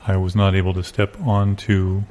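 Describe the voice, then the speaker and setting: A man playing a rambling musician in improvised comedy, reminiscing in a deadpan, hesitant way. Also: low-pitched, normal speed, a little hiss or hum, natural voice. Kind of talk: improvised comic dialogue and storytelling.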